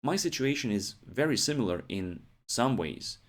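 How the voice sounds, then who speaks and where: A man's voice: mid-range voice, expressive, normal speed. A man, hosting a podcast English lesson and checking in with "yeah?" and "right?". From Ukraine. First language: Ukrainian. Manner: explains steadily, often adds "yeah?" and "right?" checks. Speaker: English teacher, podcast host.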